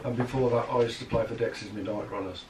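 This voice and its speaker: A man's voice: medium pitch, steady tone, fast, a little mellow, far from the mic. Man, echoey and off-mic. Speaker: musician, band member, interview guest. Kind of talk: casual interview chat. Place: small room, indoors. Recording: echoey room, off-mic, faint noise.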